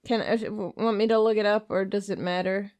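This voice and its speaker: A woman's voice: medium pitch, steady tone, fairly quick, a little mellow. A woman from Austria co-hosting a casual movie commentary, chatty and joking. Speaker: podcast co-host.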